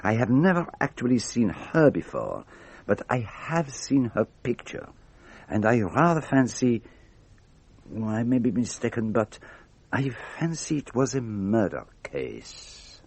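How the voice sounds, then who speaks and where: An actor playing a fussy, shrewd middle-aged detective with a precise, pompous delivery in a radio drama. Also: male voice, medium pitch, big pitch swings, measured pace, a little mellow, slightly rough, exaggerated voice. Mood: pensive.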